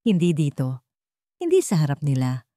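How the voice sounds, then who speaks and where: Lady narrator doing a hurt young mom in an audio drama, low voice, hushed n strained, gentle. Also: huge pitch swings, moderate pace, dry recording, studio quality. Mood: strained, protective. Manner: hushed, strained but steadying herself. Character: wounded young mother, tender with child, quietly hurting.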